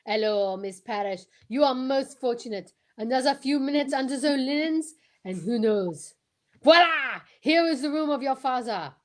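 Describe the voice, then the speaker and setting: A woman's voice: sits high, lively intonation, normal speed. A woman playing an assured, courteous male Belgian detective, formal and theatrical, in a radio drama. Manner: formal, theatrical, peppered with French exclamations. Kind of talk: old-time radio detective drama acting.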